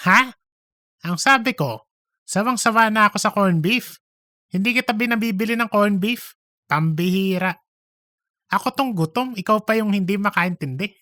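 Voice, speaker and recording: A woman's voice: medium pitch, normal speed, pauses often, sharp tone, no echo, studio quality, lightly acted. A woman voicing a grumpy, exasperated male office worker, whiny and complaining, in a comedic audiobook. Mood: indignant. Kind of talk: comedic audiobook character acting.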